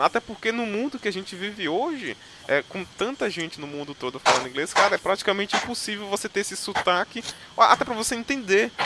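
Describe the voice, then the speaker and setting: A man's voice: sits high, noisy background. A man from Northeast Brazil with a Northeastern Brazilian accent, hosting a casual interview and talking animatedly with many fillers and restarts. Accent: Northeastern Brazilian Portuguese. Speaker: podcast host.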